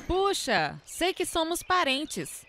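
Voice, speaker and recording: An actress with a Brazilian accent playing an eager, emotional long-lost younger sister in a radio drama. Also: female voice, very high-pitched, sing-song, slow, pushed and bright, slight background noise, exaggerated voice. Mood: eager surprise.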